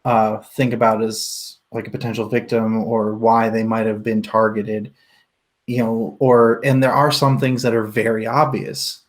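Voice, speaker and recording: A man's voice: lowish voice, flat delivery, unhurried. A man hosting a casual livestream book discussion, explaining his points as he thinks them through aloud. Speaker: book club host leading a discussion.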